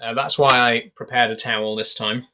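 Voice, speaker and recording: A man's voice: medium pitch, normal speed, dull audio, a little hiss or hum. A young man chatting casually on a live stream from his bedroom, rambling with lots of fillers. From England. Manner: rambling, relaxed, many fillers. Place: bedroom at home.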